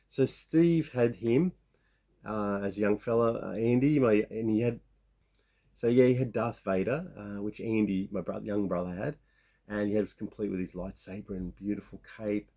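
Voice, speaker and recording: Croaky 50s guy w a sore throat, low mellow voice, rambles thru his toy haul w lots of ums. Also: moderate pace. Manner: rambling, many restarts and fillers. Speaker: toy collecting vlogger. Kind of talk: casual show-and-tell of toy haul.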